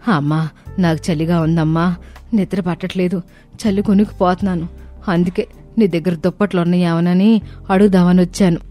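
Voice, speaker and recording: Woman playing a meek, even-toned elderly mother-in-law. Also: fairly low pitch, fairly quick, faint music behind, natural voice. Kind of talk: cartoon story dialogue.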